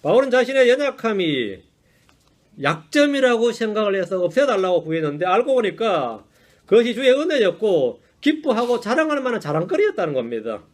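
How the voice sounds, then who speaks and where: Man, pastor, Sunday sermon, high voice, very lively pitch, chatty rhetorical asides, self-mocking laughs. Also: fairly quick. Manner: conversational preaching, frequent rhetorical "응?" asides and self-deprecating laughs. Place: church sanctuary.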